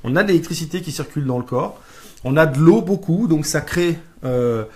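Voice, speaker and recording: A man's voice: a little high, expressive, a little fast. A man co-hosting a live stream as a life coach, chatting casually, joking and searching for his words. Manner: jokey, searching for words, playful put-on slang.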